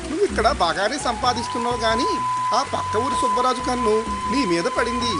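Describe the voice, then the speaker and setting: Guy putting on a high voiced gossipy village friend, bit cautioning, storybook dialogue over music and rain. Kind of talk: acted dialogue in a moral story.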